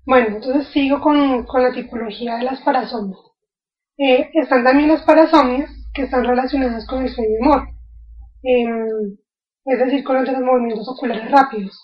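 A woman's voice: sits high, normal speed, dull audio. A woman explaining a topic in an informal video lecture, thinking aloud with fillers and restarts. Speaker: educator.

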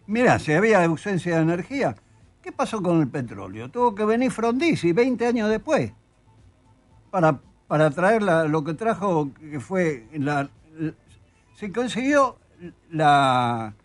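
Older Argentine man, author guest: emphatic, indignant, high and very animated delivery. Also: measured pace, soft background music. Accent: Argentine Spanish. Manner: emphatic, indignant, reads quotes aloud. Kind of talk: studio interview conversation.

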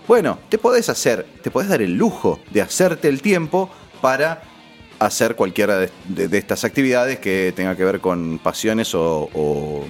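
A man's voice: on the low side, expressive, normal speed, soft background music. An Argentine man with a Rioplatense accent hosting a casual conversational interview, talking informally with salty slang. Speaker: podcast host.

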